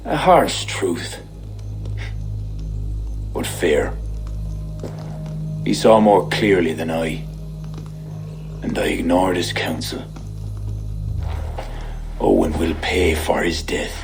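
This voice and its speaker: A man playing a stern, regretful high king in a cinematic video game, speaking in a solemn, deliberate way. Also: gravelly, heavily acted, rough recording. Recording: music behind.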